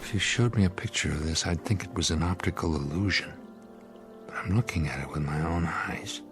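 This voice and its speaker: A man's voice: sits low, over music, lightly acted. An actor playing a skeptical spaceship crewman in a radio drama, a man speaking with incredulous wonder. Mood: awestruck. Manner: deliberate, incredulous. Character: skeptical male spaceship crewman turning awestruck.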